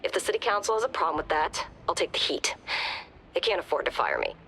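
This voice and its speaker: A blunt police commissioner. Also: female voice, fairly low pitch, wildly swooping pitch, fast, a bit bright, slight background noise, exaggerated voice. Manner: blunt, no-nonsense.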